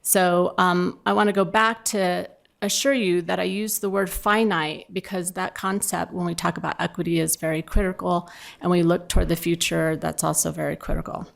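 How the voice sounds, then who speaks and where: A woman's voice: lowish voice, fairly even intonation, normal speed, vocal fry. A middle-aged woman giving informal panel remarks at a conference, talking conversationally and directly to the audience. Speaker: panelist from a municipal water provider.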